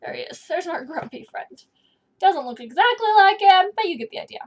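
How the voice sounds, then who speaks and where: A woman's voice: extremely high pitch, very animated, brisk, a little hiss or hum. A woman in her thirties giving a children's read-aloud lesson, explaining things in simple phrases for young listeners. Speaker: teacher reading aloud. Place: small indoor office or study.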